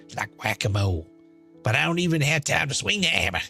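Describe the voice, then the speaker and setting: A man's voice: on the high side, big pitch swings, fast talker, gravelly, pushed and bright, slightly rough, soft background music, heavily acted. A man voicing a brash, smug, chain-smoking woman in a dramatized monologue, sneering, profane and boastful. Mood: sardonic, defiant.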